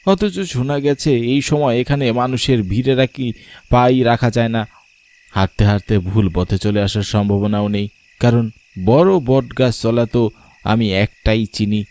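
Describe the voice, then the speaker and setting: Mellow, dark-voiced frightened father played by a man. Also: mid-range voice, lightly acted. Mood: uneasy, puzzled. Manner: dramatic, halting with fearful stammers. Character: uneasy, frightened father narrating his own ghost story. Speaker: narrator. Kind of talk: dramatic horror story narration.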